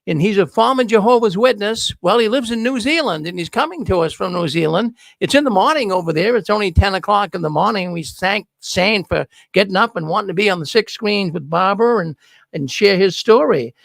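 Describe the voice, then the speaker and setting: Older Boston man hosting, gravelly and high. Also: animated, fairly quick, a bit bright, dry recording. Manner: chatty, folksy welcome. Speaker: host. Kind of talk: casual show hosting. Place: studio with neon signs. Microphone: broadcast mic on boom arm.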